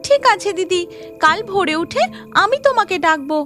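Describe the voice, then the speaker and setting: A woman voicing a young village wife in a cartoon, questioning and expressive, with music behind. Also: very high-pitched, moderate pace, pitched above the performer's own voice. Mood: agreeable. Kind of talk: Bengali cartoon story acting.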